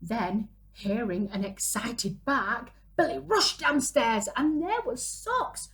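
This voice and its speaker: A woman, reading a picture book aloud to young children in an animated, dramatic way. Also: a little high. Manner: animated, dramatic gasps and drawn-out exclamations. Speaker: children's storyteller, early years presenter. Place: small room at home, indoors.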